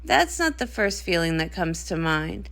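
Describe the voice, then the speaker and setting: A woman voicing a grumpy, anxious young pony princess, a tomboy sister with a flat, sardonic, reluctant way of talking. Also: lowish voice, a little slow, gravelly, voice pushed down, full character voice.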